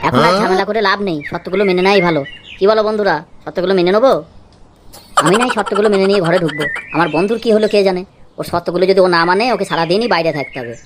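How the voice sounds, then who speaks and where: A woman's voice: on the low side, rapid-fire, background noise, natural voice. A woman playing a henpecked, secretly scheming village husband in an amateur comedy cartoon, wheedling, pleading and muttering to himself.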